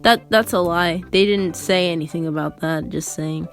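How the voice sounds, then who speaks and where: A woman's voice: lowish voice, moderate pace. A teenage girl telling a personal story in a casual, rambling, conversational way with lots of filler words. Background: background music.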